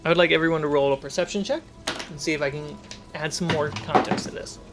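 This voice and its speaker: A man's voice: on the high side, fairly even intonation, fast talker, over loud music. A man narrating a tabletop fantasy game as game master, setting scenes and prompting players.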